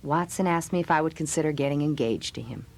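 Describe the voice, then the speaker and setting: An actress playing a patient, festive middle-aged mother in a scripted audio drama, with a husky voice. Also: low-pitched, fast talker, darkish tone. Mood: cheerful, announcing.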